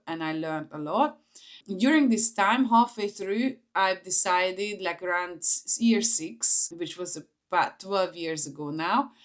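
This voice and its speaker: A woman in her forties with a Hungarian accent, talking informally to camera as she shares personal stories. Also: lowish voice. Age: 40s. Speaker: vlogger, entrepreneur.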